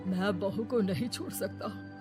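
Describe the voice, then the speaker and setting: A woman's voice: mid-range voice, a little slow, music in the background, natural voice. A woman voicing a kind, principled older father-in-law in a Hindi moral-story cartoon, firm and protective.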